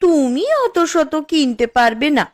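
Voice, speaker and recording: A woman's voice: sits high, measured pace. A woman voicing a boastful neighbour wife in a cartoon, mocking and taunting as she shows off. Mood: gloating, mocking. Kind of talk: Bengali cartoon voice acting.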